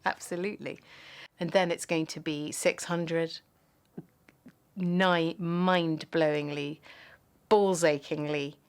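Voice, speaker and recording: A woman comments bluntly and emphatically in an interview. Her voice is on the low side and her delivery is slow. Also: fairly even intonation, smooth.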